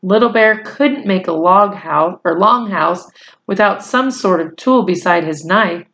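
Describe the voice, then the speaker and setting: Lady reading a kids book out loud, really slow and measured, medium pitch. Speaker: storybook reader. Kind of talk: read-aloud narration of a children's novel.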